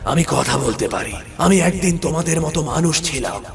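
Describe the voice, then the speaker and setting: A man's voice: a little high, animated, normal speed, vocal fry. A man playing a cursed young prince turned talking fish, pleading and regretful, in a children's moral-story cartoon. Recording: music behind the voice.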